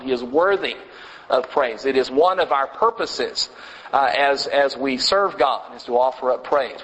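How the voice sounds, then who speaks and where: A man teaching a church class in a casual, halting way, with many fillers and restarts. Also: medium pitch, a bit bright, long reverb tail, low-quality recording. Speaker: preacher teaching a congregation class. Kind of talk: informal Bible class teaching. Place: church meeting room.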